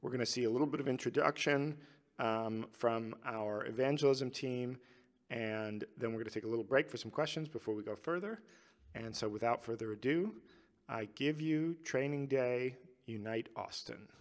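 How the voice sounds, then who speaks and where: Gravelly man hosting a live stream. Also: medium pitch, normal speed. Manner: loose and chatty, reading viewer chat aloud. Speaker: livestream host. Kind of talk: casual live-stream chat with viewers.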